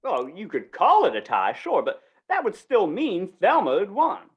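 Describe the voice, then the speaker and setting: A woman's voice: mid-range voice, wildly swooping pitch, moderate pace, slightly rough, lo-fi sound, heavily acted. A woman playing a slippery, wheedling male small-town fair organizer in an old-time western radio drama, coaxing and folksy. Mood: insistent. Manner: coaxing, folksy, falsely reassuring.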